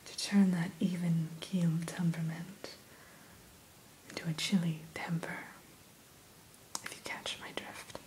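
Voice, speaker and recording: A woman whispering a gentle, courteous ASMR roleplay as the keeper of a fantasy creature shop, in a breathy voice. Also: lowish voice, rough recording. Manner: slow, gentle, courteous shopkeeper patter. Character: gentle, courteous female keeper of a dragon hatchery. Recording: steady hiss behind close whisper.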